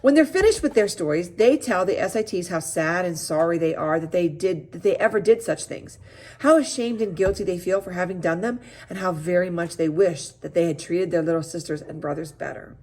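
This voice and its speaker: A woman's voice: lowish voice, fairly quick, a little mellow, close to an everyday voice. A woman voicing a proud, evasive female camp director who explains things at length in a children's storybook.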